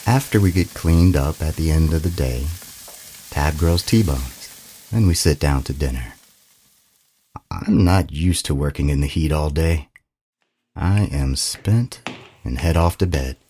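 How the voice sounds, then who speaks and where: A man narrating a scripted first-person story in a dramatic, brooding, deliberate manner. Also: extremely low pitch, dark tone, slight background noise.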